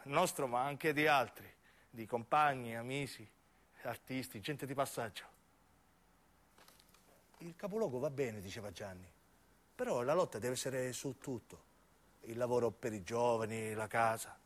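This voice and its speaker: A man's voice: medium pitch, moderate pace, long pauses, a bit bright. A man with a southern Italian accent performing a theatrical radio monologue, dramatic and shifting from intimate narration to acted dialogue. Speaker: stage actor and narrator.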